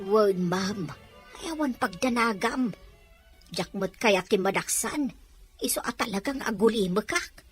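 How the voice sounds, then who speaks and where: A woman's voice: mid-range voice, animated, a little slow, faint music behind, close to an everyday voice. Woman playing chatty housemaid in a radio soap, respectful to the bosses, takes her time talking. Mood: dutiful. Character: deferential, chatty household maid. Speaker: voice actor in an audio drama. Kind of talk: radio drama acting.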